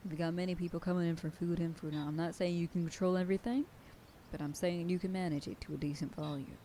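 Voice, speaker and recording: A woman's voice: fairly low pitch, steady tone, quick pace, warm tone, a little hiss or hum. A woman voicing a protective werewolf sheriff girlfriend in an ASMR roleplay, scolding and bossy. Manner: scolding, bossy then whispering tenderly. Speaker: voice actor.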